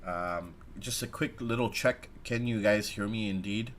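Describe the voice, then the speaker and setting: Raspy-voiced man hosting a market livestream. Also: on the low side, measured pace, a little hiss or hum. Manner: relaxed, chatty, with many "uh" fillers. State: slightly raspy from a recovering sore throat. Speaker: stock market livestream host. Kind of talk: casual livestream market commentary.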